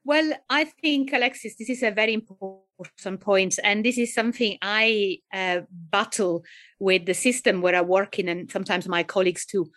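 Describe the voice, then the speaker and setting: A woman with an Italian accent, a psychiatrist answering interview questions thoughtfully, with frequent pauses and fillers. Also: medium pitch, fairly bright tone. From Italy. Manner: thoughtful, hesitant with many fillers. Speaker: psychiatrist guest.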